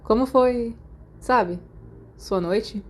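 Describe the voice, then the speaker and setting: A woman's voice: fairly high pitch, extremely slow, slight background noise. A Brazilian woman voicing a casual, confident, sporty and friendly schoolboy in a storybook, easygoing in manner. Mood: casual, cheerful.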